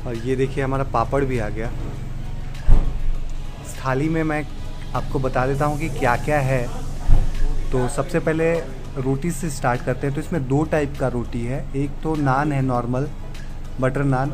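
A young man vlogging a restaurant visit, enthusiastically telling his viewers about the food and decor. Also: medium pitch. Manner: enthusiastic, addresses viewers directly. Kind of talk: casual food vlog narration. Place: indoor restaurant.

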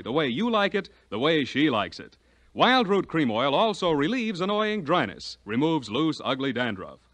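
A man's voice: fairly high pitch, fairly bright tone, dry recording. A man working as a radio announcer, delivering show introductions and sponsor copy in 1940s style.

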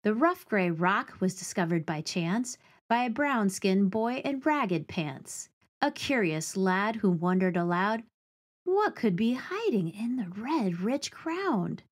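A woman in her forties reads a children's storybook aloud, speaking clearly and deliberately with an expressive storytelling delivery. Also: medium pitch. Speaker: teaching artist reading aloud to children.

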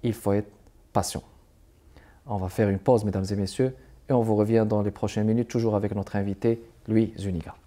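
A middle-aged man hosting an arts interview show in a TV studio, speaking in a formal, measured presenter manner. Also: on the low side, steady tone, mellow. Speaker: TV host.

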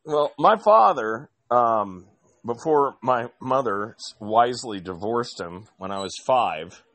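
A man's voice: mid-range voice, expressive, slow, fairly bright tone, no room sound. A man from Indiana telling long, rambling stories in casual chat, with frequent restarts. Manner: rambling storyteller with many restarts.

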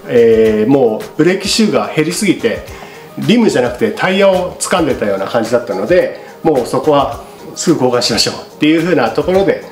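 A middle-aged man politely explaining a product to camera in a casual, unscripted way, as a shop presenter. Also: mid-range voice. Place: small indoor studio with paper backdrop. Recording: roomy echo, music behind.

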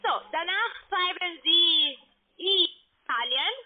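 A woman who is not a native speaker, talking haltingly in broken grammar as a call-centre agent on the telephone. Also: extremely high pitch, expressive. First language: not German. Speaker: phone scam caller posing as investment firm agent. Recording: tinny narrowband phone line.

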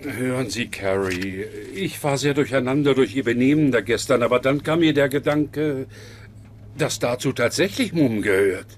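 A man's voice: mid-range voice, moderate pace, slight background noise, lightly acted. Sitcom dub: man as scornful, formal company chief with a mid-range voice. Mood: disdainful. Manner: pompous, formal. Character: stern, pompous, scornful company boss. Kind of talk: German-dubbed sitcom acting.

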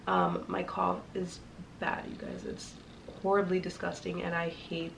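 A woman's voice: fairly low pitch, big pitch swings, unhurried, vocal fry. A young woman with a congested, sick voice, chatting casually to her viewers as a fashion vlogger, rambling with lots of fillers. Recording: slightly distant mic with faint noise.

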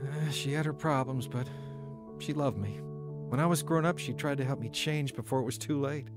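A man's voice: mid-range voice, brisk, music in the background, lightly acted. An actor playing a troubled, wavering young man telling his life story in a radio drama. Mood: subdued. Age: young adult. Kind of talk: radio drama narration and dialogue.

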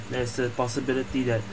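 A woman's voice: extremely low pitch, big pitch swings, normal speed, very noisy. A woman giving casual game commentary, thinking aloud somewhat hesitantly. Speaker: gameplay streamer. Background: game music.

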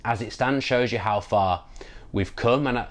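A man's voice: mid-range voice, moderate pace. A man, chatting animatedly about a football match on a video call. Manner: animated, repeats words while thinking. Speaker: football podcast co-host. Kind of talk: casual post-match football chat. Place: home room, indoors. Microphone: video call with earphone mic.

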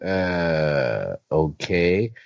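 A middle-aged man co-hosts a podcast in casual banter and announcements. He takes his time, with a lively, swinging pitch, recorded dry and a touch dull. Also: very slow, creaky, no echo. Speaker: podcast co-host.

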